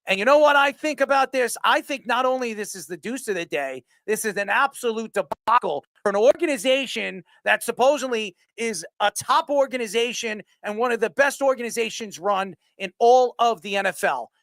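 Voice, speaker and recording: A sports talk show host giving opinionated commentary in an emphatic, declamatory way with a creaky voice. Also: mid-range voice, animated, normal speed, few pauses, a bit bright, no echo.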